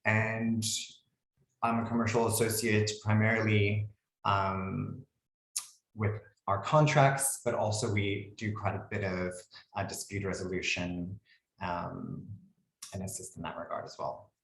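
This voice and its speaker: Low-voiced American man presenting a webinar. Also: unhurried, gravelly, reverberant, low-quality recording. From United States. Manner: relaxed, conversational, frequent ums and "cool". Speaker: commercial lawyer presenting a webinar.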